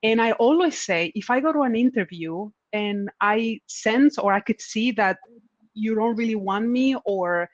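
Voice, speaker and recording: A woman's voice: fairly high pitch, lively intonation, unhurried, no room sound, lo-fi sound. A woman panelist giving career advice in a conference Q&A, earnest and encouraging, often repeating her key points. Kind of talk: conference Q&A answers.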